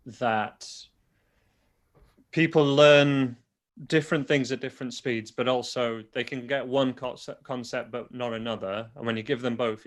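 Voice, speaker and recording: A young adult man with a toned-down Yorkshire accent, a guitar teacher talking casually in an interview and explaining things plainly with frequent restarts. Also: mid-range voice. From Yorkshire, England. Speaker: guitar teacher and online video creator.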